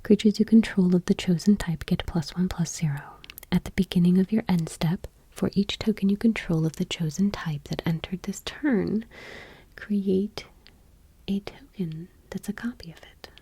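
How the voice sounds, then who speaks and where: A woman doing ASMR, reading gently and unhurriedly in a breathy whisper, with casual asides. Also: on the low side, normal speed. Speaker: ASMR host.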